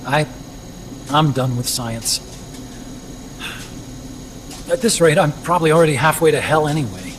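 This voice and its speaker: An actor playing an earnest, disillusioned scientist in a video game, explaining things in a talkative, serious way. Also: male voice, a little high, animated, measured pace, long pauses, slight background noise, rough recording. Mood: weary, resigned. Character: earnest, disillusioned male nuclear weapons scientist, unable to walk. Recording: loud music behind. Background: music.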